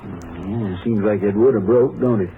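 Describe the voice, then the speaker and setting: A man's voice: fairly low pitch, moderate pace, lightly acted. Folksy Texas sheriff played by a man. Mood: matter-of-fact. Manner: plain-spoken, folksy. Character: folksy small-town Texas sheriff, satisfied with easy evidence. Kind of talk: 1950s radio drama acting. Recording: tinny, hissy 1950s radio recording.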